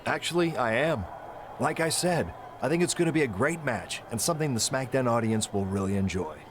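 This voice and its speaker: A man's voice: mid-range voice, animated, a little fast, slight background noise, close to an everyday voice. A man playing a firm, businesslike wrestling general manager in a video game scene. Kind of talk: scripted game dialogue.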